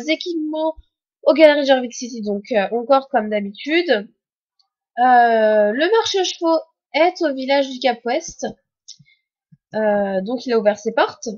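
A woman's voice: high-pitched, dull audio. A young woman with a cold commenting on a game update in a chatty, hesitant way full of fillers. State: has a cold. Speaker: gaming YouTuber.

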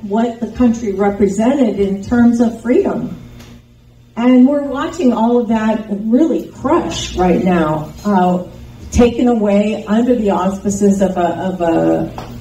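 A woman's voice: medium pitch, warm tone. An older woman who grew up in Taiwan with Chinese as her first language, giving an earnest, rambling campaign speech into a handheld microphone in an indoor meeting room. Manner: earnest, rambling with frequent restarts. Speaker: congressional candidate. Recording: roomy sound from a distant camera.

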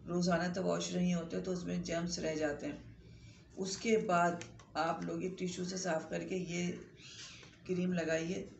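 A woman's voice: on the low side, little pitch movement, a little slow, vocal fry. A middle-aged woman doctor with a sore throat, giving chatty, instructive skin-care advice straight to a phone camera in her small clinic. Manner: chatty, instructive, holds up products while explaining. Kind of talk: direct-to-camera health advice talk. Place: small indoor clinic room lined with medicine shelves. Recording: echoey room with faint background noise.

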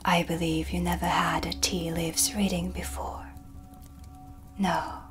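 A woman voicing a sly, composed dark witch in a soft, breathy, deliberate ASMR roleplay, close to the mic. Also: fairly low pitch, level tone. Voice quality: breathy, whispery. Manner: slow, deliberate, theatrical. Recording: music behind the voice. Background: ambient music.

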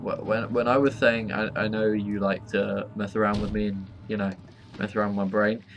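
A man's voice: fairly low pitch, level tone, normal speed. A young man giving casual, sweary, reactive commentary as he plays a game with someone in a room at home. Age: young adult. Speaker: co-player in a gaming video. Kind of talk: casual let's-play commentary.

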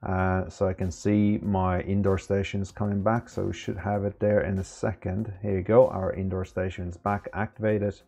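A man in his thirties with a very low, gravelly voice talks through a software setup at an easy pace. He fills gaps with ums and uhs as he goes. Faint music sits under his voice. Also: moderate pace, few pauses. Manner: relaxed, step-by-step with many fillers. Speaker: tech tutorial presenter. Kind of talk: casual screen-recorded tutorial. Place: small room indoors.

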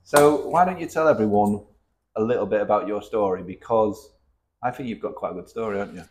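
A man's voice: on the low side, fairly quick, darkish tone. A man from Cheshire with a North West English accent, hosting a casual podcast chat with teasing banter and lots of tag questions. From Cheshire, England. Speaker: podcast host.

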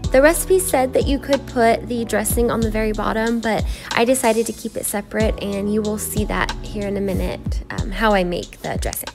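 A woman's voice: medium pitch, steady tone, moderate pace, few pauses. Chatty vlogger woman over background music. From Oregon, USA. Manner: chatty, upbeat, talks to the viewers directly. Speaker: lifestyle vlogger. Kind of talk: casual vlog narration. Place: parked car, then a home kitchen. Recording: music playing under the voice.

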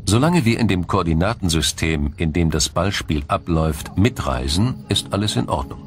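A man reading a scripted science documentary in a measured, formal, explanatory manner. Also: deep voice, lively intonation, a little hiss or hum. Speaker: documentary narrator.

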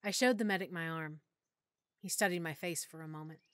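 A woman narrating a full-cast audiobook in first person, with expressive, clear storytelling. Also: deep voice, a little fast, dry recording, studio quality. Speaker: narrator and lead character.

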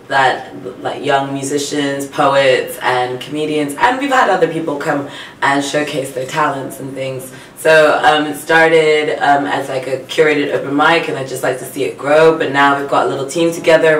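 Young woman, raspy voice, chatty interview guest, bit roomy and off the mic. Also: deep voice, a bit bright, a little hiss or hum. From Tanzania. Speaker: singer-songwriter guest. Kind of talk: casual radio interview chat. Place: small indoor radio studio. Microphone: studio condenser mic on boom arm. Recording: roomy studio, slightly distant, faint music.

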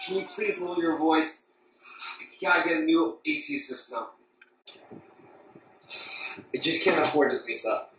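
A man's voice: on the high side, brisk, background noise, close to an everyday voice. A man acting as a worn-down young husband, pleading and exasperated in a dramatic domestic argument. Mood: weary pleading. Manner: pleading, strained, accusing. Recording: very echoey room, far from mic.

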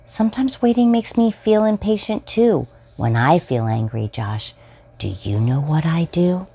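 A kind, patient mother from a picture book, played by a woman reading aloud. She explains things soothingly to a small child. Her pitch rises and falls in an animated way. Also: unhurried, gravelly, breathy edge, dull audio, heavily acted. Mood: gentle, patient.

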